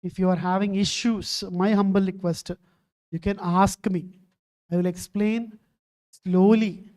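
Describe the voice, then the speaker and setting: Mellow-voiced woman teaching. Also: medium pitch, steady tone, unhurried. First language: Telugu. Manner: keeps quizzing students, calling them "ma". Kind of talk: online exam-prep lecture.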